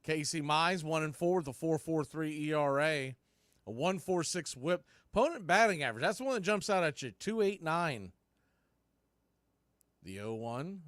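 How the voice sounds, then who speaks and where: High-voiced man calling baseball play-by-play. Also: fairly bright tone, no echo. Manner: punchy clipped calls, swelling with the action. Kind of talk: live radio-style play-by-play commentary.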